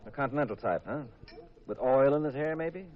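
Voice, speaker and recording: Actor as wisecracking husband sleuth, muffled radio sound. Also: male voice, mid-range voice, a little fast, natural voice. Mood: needling, teasing. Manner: breezy, sardonic, colloquial. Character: wisecracking, skeptical amateur detective husband. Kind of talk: 1950s radio drama acting. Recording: muffled vintage radio broadcast.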